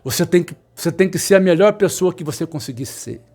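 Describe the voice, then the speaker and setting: A man in his fifties with a fairly high voice, coaching electronics students. He speaks insistently and repeats each point, slowing down to stress key words. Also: lively intonation, a little mellow, breathy edge. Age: 50s. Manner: repetitive, emphatic, insistent, with sudden slow stressed words. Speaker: electronics instructor. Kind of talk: motivational talk to students.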